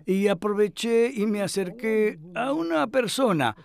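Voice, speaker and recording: An elderly philosopher in a dubbed interview. His voice sits high for a man. He talks reflectively and modestly, with small hesitations, as if in conversation. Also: lively intonation, measured pace, vocal fry, exaggerated voice. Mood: reminiscing. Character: elderly male philosopher reminiscing, thoughtful and modest. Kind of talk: Spanish voice-over dub of an interview.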